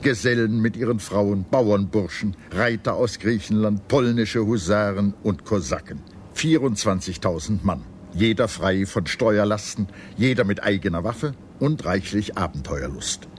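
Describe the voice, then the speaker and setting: A man reading scripted historical documentary narration in a measured, serious storytelling manner. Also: lowish voice, slight background noise.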